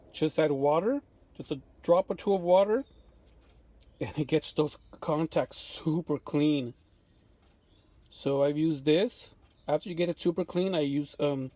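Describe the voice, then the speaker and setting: A man giving an unscripted show-and-tell of his restoration work in a home workshop, relaxed and full of fillers and restarts. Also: a little high, dry recording. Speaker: vintage radio restorer.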